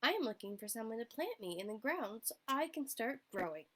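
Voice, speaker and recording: A woman's voice: a little high, sing-song, a little fast, fairly bright tone. A woman voicing a small, earnest male seed in a children's storybook, polite, curious and a little unsure.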